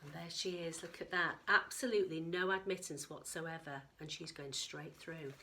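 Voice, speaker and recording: A woman reading a picture book to young children in an expressive storytelling style, pausing for chatty questions and asides. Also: low voice, slight background noise. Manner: animated, addresses young listeners with questions. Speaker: teacher reading story time.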